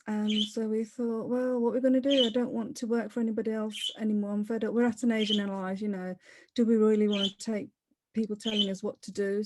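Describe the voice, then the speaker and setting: A woman's voice: medium pitch, vocal fry, noisy background. A middle-aged woman with a Leicester English accent, chatting casually as an interview guest, rambling and restarting her sentences often. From born in Kenya, raised in Leicester, England. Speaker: interview guest, property investor.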